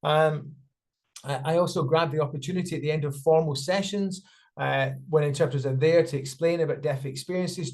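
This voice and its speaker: A man with a fairly high voice voices sign language speakers for a panel. His delivery is halting and full of fillers and restarts as he keeps pace with the signers. The sound comes through a video call. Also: a little mellow. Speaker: sign language interpreter voicing deaf panellists. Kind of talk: spoken interpretation of a panel discussion.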